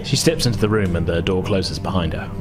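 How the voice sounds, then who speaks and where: A man narrating a tabletop roleplaying game as game master, with measured, descriptive storytelling. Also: low voice, music in the background.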